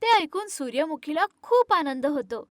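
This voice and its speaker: Very high-voiced woman story narrator. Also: big pitch swings, normal speed, dry recording, studio quality. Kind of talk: scripted storytelling narration for animated moral stories.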